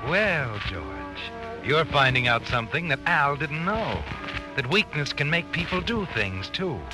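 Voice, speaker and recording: A man's voice: fairly high pitch, big pitch swings, unhurried, poor audio. An actor playing a sardonic narrator in an old-time radio crime drama, needling a guilty man in a theatrical, insinuating way. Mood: taunting, ominous. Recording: old radio recording with music behind.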